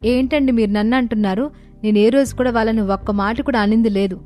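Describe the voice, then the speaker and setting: A woman's voice: on the high side, steady tone, fast, mellow, faint music behind, natural voice. A woman playing a humble, indignant young daughter-in-law in a cartoon family story.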